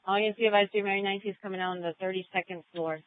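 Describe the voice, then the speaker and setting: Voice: medium pitch, steady tone, very fast. A police dispatcher giving terse acknowledgements and relays over the radio. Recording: tinny, band-limited police radio scanner.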